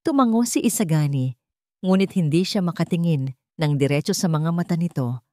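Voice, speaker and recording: A woman with a Filipino accent reading a scripted dramatic story in a measured, storytelling manner. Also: fairly low pitch, expressive, dark tone, no room sound, clean studio sound. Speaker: storyteller, narrator.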